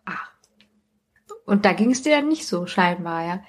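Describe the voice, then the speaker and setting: A woman's voice: on the low side, animated, a little slow. A middle-aged woman, talking casually as a podcast host.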